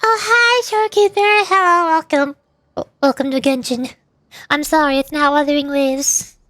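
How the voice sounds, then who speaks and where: A woman's voice: high voice, normal speed, sharp tone, dry recording. A woman chatting casually as a VTuber during a game livestream. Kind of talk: casual livestream chat over gameplay.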